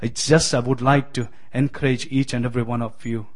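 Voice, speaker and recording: Keralan man preaching with many pauses. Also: mid-range voice, fairly even intonation. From Kerala, India. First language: Malayalam. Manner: hesitant, many fillers and restarts, friendly. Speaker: guest preacher. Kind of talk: sermon.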